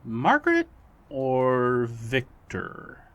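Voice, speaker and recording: A man's voice: mid-range voice, wildly swooping pitch, very slow, fairly bright tone, exaggerated voice. A man playing an exasperated, put-upon sidekick in an audio drama, indignant and dramatic. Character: exasperated, put-upon, wounded male would-be best friend.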